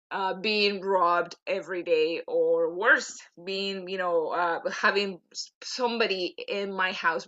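A middle-aged woman with a Venezuelan Spanish accent talks as an interview guest. Her voice is bright and forceful, and she speaks conversationally, often repeating words as she goes. The sound is slightly dull, like a remote connection. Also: mid-range voice. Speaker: podcast guest, LinkedIn coach.

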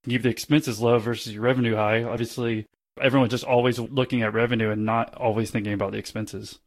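A man's voice: medium pitch, level tone, quick pace, dry recording. A man hosting a podcast interview, asking casual conversational questions. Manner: conversational questions and read ad spot. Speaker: podcast host.

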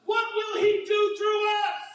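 Male pastor, very high voice, fervent shouting sermon, captured from afar in a booming hall. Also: completely flat delivery, a little slow. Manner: impassioned preaching, often shouting. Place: church sanctuary. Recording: very echoey hall, mic far off.

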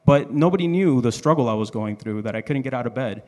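A man's voice: medium pitch, lively intonation, fast talker, a little mellow. A man from the Dominican Republic and Miami telling a personal story on stage in an informal, joking manner. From Dominican Republic and Miami, USA. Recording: reverberant live hall.

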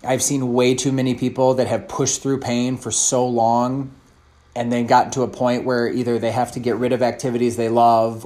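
A man's voice: medium pitch, darkish tone. A man in his thirties, a fitness coach, answering a question in an earnest, thoughtful way, often restarting his sentences. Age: 30s.

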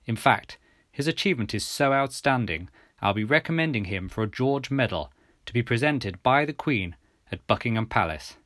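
A man voicing a formal, authoritative English gentleman, an official, in a measured manner for an audiobook story. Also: mid-range voice, lively intonation, dry recording, studio quality, natural voice. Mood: grave, approving.

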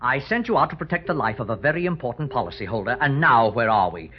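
A man's voice: mid-range voice, animated, fast talker, close to an everyday voice. An actor playing a fussy, exasperated male insurance boss in 1940s radio drama style. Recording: muffled old radio broadcast.

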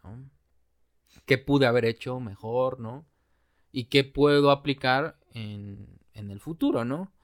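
A man's voice: medium pitch, dry recording, clean studio sound. Young Mexican man, slangy and laughing. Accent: Mexican Spanish. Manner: slangy, laughs often, turns reflective and slow when talking about his breakup. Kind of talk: casual chat.

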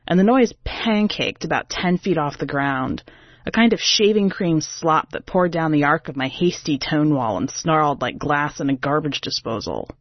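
Brash, sassy low-voiced woman playing a city diva. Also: no echo, highs cut off. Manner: sassy, slangy, irreverent, emphatic. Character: brash, sardonic urban woman singer, self-declared diva, telling her tale. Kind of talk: first-person story reading, conversational.

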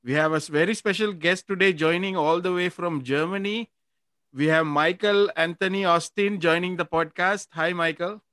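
A man's voice: high-pitched, normal speed, fairly bright tone, dry recording, studio quality. A man hosting a podcast, asking his guest questions in a friendly, casual way with restarts and short laughs. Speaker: podcast host. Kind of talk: casual interview chat.

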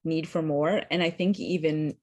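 A woman's voice: low-pitched, fairly even intonation, normal speed, a little mellow. A woman in her thirties, a physician guest on a podcast, talking in a chatty way with many likes and restarts. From Canada. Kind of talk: casual interview chat.

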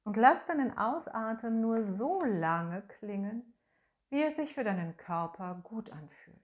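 Slow, soothing woman guiding breathwork. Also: a little high, expressive. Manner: slow, soft, soothing instructions with long pauses; hums and sings sustained tones as demonstration. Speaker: voice and breathing guide. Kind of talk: guided voice meditation.

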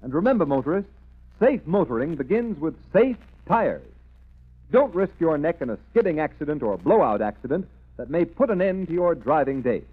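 A man's voice: a little high, very animated, normal speed, slightly rough. A man announcing and reading advertising in an emphatic, salesmanlike 1930s radio style. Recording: tinny, narrow 1930s radio recording.

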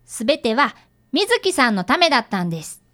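An earnest schoolboy apprentice detective in a radio drama. The voice is high and swings animatedly. He narrates and speaks with courteous, careful formality. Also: very animated, fairly quick, pushed and bright. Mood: earnest, puzzled. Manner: polite, formal narration and dialogue. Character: earnest, polite young boy apprentice detective.

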